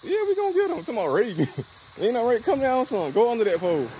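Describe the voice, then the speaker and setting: A man filming a family fishing trip on a riverbank with a handheld phone, chatting to viewers with excitement and laughter. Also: very high-pitched, rapid-fire, a little hiss or hum, rough recording. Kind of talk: casual outdoor narration to viewers. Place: riverbank under a bridge, outdoors. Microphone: handheld phone camera. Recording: loud outdoor ambience with crickets and insects.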